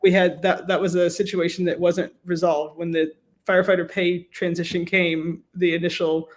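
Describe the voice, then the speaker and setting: A woman with an American accent, a professor and researcher, giving measured, explanatory interview answers with emphatic lists. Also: on the low side, level tone.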